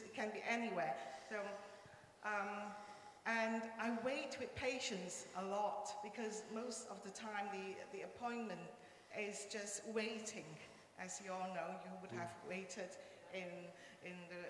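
A woman's voice: medium pitch, fairly bright tone. A woman from Malaysia whose first language is Cantonese shares her story before a congregation, hesitant and earnest, full of little ums. Her voice carries a strong, long echo through the church hall, with faint noise behind it. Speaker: interpreter, church member. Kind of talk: casual testimony on stage.

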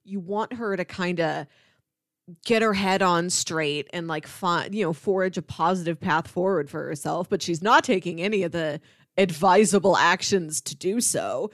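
A woman's voice: lowish voice, normal speed, no echo, clean studio sound. A woman co-hosting a podcast, chatting casually and conversationally about film.

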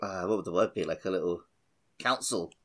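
A man's voice: low voice, brisk. A man co-hosting a gaming podcast in a casual, colloquial way. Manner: informal, colloquial. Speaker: podcast co-host.

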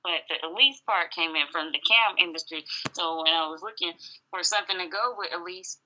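A woman with a naturally raspy voice, from Atlanta, chats loosely over the phone as an interview guest. Her tone sits on the low side and is strikingly bright and edgy. The call comes through dull and lo-fi. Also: brisk, slight background noise. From Atlanta, Georgia, US. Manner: laid-back, laughs often, many "you know"s. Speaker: singer and model guest. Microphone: phone line.

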